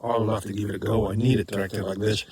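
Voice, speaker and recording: South African man, rambling casual vlog talk, mellow husky voice. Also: fairly low pitch, little pitch movement, fast talker. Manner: rambling, self-correcting, frequent ums. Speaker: vlogger. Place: rural homestead.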